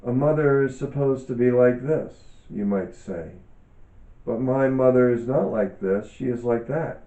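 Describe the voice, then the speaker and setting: A man reads a reflective self-help text at a slow, deliberate pace, leaving frequent pauses between short phrases. His voice is very soft-edged and dark. The recording is dull, with noticeable room echo. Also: medium pitch. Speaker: author and yoga teacher reading from own book. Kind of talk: measured reading aloud, reflective self-help teaching.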